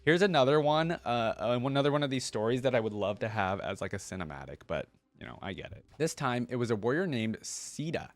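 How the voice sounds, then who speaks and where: A man hosting a reaction video, reading a story aloud with animated delivery and breaking off for casual comments. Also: medium pitch, brisk, hardly any pauses, dry recording.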